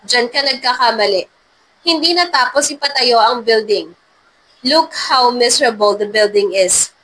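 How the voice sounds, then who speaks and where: A woman voicing a sharp, suspicious female undercover security agent in a dramatized story reading. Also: on the high side, measured pace, slight background noise, poor audio. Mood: firm, revealing.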